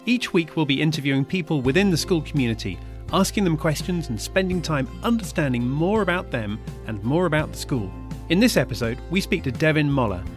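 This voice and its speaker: A man hosting a school podcast, with an upbeat, clear presenter delivery. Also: on the high side. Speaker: podcast host. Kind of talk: scripted intro then interview. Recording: music bed under intro.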